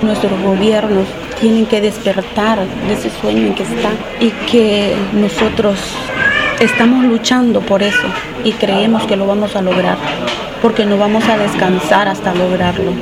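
A woman's voice: mid-range voice, little pitch movement. A woman in her forties from Honduras, gives an earnest, pleading street interview as a migrant mother searching for her daughter, stumbling over her words at times. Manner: earnest, pleading, halting restarts. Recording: loud crowd noise outdoors.

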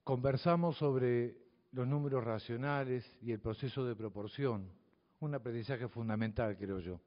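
A man's voice: medium pitch, moderate pace. An older man from the Southern Cone with a Southern Cone Spanish accent gives a reflective, provocative public talk to an audience as a mathematics teacher. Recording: dull audio from a reverberant hall.

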